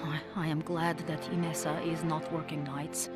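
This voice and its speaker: Actress, devoted Soviet wife, soft earnest letter reading, game cutscene, music behind. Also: female voice, low-pitched, little pitch movement, normal speed, lightly acted. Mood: worried, loving. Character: loving, anxious Soviet soldier's wife.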